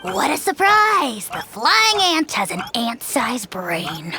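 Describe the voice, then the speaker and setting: Snide, very slow-drawling little creature. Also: medium pitch, wildly swooping pitch. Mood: mocking. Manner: sarcastic, drawling. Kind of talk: video game voice acting. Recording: background music under dialogue.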